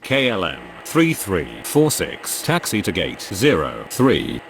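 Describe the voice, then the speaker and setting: A man plays an air traffic radio operator in a simulation game. The voice is very low with strongly swinging intonation, and the phrasing is clipped and formulaic. Faint vehicle noise sits behind it. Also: huge pitch swings, slow, gravelly, full character voice. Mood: businesslike. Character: procedural male pilot and air traffic controller. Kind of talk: scripted aviation radio calls.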